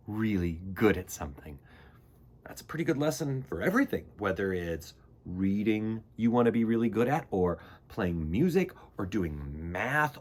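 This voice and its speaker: A man's voice: medium pitch, breathy edge. A man presents stories for children with big, swooping intonation. He is folksy and emphatic, speaking directly to young listeners as a storytime host. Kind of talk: animated storybook narration and friendly address to children.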